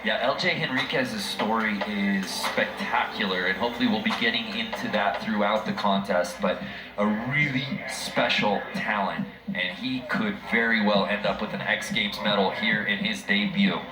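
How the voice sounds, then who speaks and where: A man calling a snowboard contest from the broadcast booth, with an upbeat, brisk announcer delivery. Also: lowish voice. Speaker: play-by-play commentator. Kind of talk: live sports commentary. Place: broadcast booth at an outdoor event. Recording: roomy sound with loud music behind.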